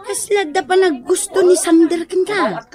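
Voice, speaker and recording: A gossipy, teasing neighbour with an Ilocano accent. She speaks slowly in a very high, swooping voice. It is radio comedy, with music playing behind. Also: lively intonation. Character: teasing, gossipy older-sister neighbour woman. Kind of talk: comedic radio drama acting.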